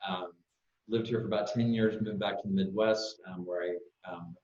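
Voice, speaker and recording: A middle-aged American man with a lowish voice talks casually as a panelist. He is halting, often restarting his words. The sound is echoey and somewhat muffled. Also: steady tone, darkish tone. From Kansas, United States. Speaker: panelist, business strategy consultant. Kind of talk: casual self-introduction on a panel. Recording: echoey, dull online call audio.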